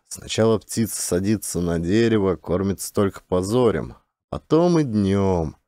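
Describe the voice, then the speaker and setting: A man narrating a literary short story as an audiobook, in a measured, expressive storytelling manner. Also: lowish voice, no echo, studio quality.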